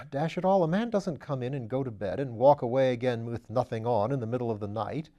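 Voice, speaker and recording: Methodical police detective, steady and low-key, read by a man, audiobook. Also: muffled recording, natural voice. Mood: matter-of-fact. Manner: calm, matter-of-fact, measured.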